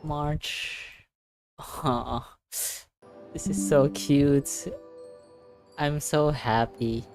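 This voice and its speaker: A game streamer with a mid-pitched voice reacts live in a casual way. The delivery is slow, invested and excitable, with muttered asides. Music plays behind. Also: rough recording.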